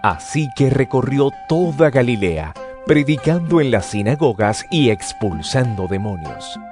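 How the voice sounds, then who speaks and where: A man's voice: medium pitch, sing-song, unhurried. A man narrating scripture as scripted audio drama in a solemn storytelling manner. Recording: music bed behind the voice.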